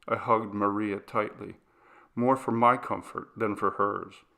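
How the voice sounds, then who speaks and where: A man reads a ghost story as scripted narration. His pitch is slightly low, his delivery is unhurried, and there is a marked fry in the voice. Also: steady tone, sharp tone. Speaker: audiobook narrator.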